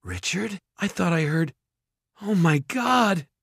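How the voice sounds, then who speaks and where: A man's voice: lively intonation, slow pace, gravelly, a bit bright, husky, dry recording, full character voice. A man voicing a frightened, protective mother in a storybook, pleading in panic. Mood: confused fear. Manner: panicked, breathless pleading, high-pitched.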